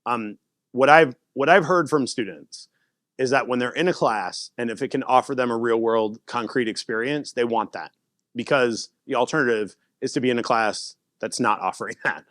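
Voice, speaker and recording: A man giving a casual conference talk and answering audience questions, animated and laughing often. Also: mid-range voice, moderate pace, dry recording, clean studio sound. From United States. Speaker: presenter.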